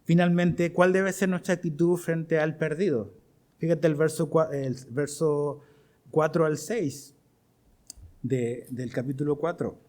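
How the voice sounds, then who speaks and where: A man's voice: on the high side, steady tone, unhurried, a little mellow. A middle-aged man with a Chilean accent preaching to his congregation as pastor, asking them questions as he goes. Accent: Chilean Spanish. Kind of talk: sermon.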